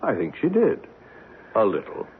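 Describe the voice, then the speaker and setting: An actor playing a pragmatic, worldly middle-aged man of power in an old radio drama, speaking in a measured way. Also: on the low side, huge pitch swings, breathy edge, exaggerated voice. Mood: resigned. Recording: muffled, faintly noisy old radio recording.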